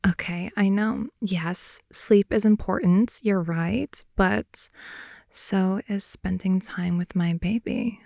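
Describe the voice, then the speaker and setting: A young woman playing a playful, clingy girlfriend in an improvised ASMR roleplay, rambling softly and intimately close to the mic. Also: mid-range voice, steady tone, takes it slowly, gravelly, no room sound. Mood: playful pleading. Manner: soft, intimate, rambling, giggly, often dropping to whisper. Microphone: close headphone-oriented ASMR mic.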